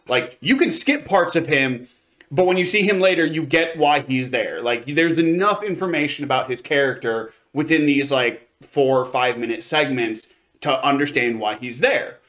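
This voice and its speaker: Mid-pitched man in emphatic casual chat. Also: animated, moderate pace, fairly bright tone. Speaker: co-host of an anime review show.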